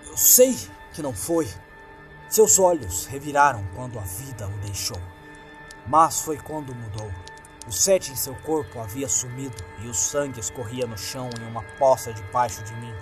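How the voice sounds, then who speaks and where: A man's voice: medium pitch, animated, moderate pace, soft background music. A man with a Brazilian accent reads a scripted horror story in a dramatic, suspenseful manner. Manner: suspenseful, acts out the lines.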